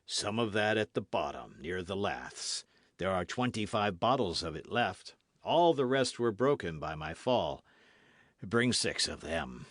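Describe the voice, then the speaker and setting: Man voicing an aloof drinking musketeer, slow measured speech, varied intonation. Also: low-pitched, big pitch swings, fairly bright tone, no echo, clean studio sound, full character voice. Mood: languid. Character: world-weary drinking male musketeer, aloof.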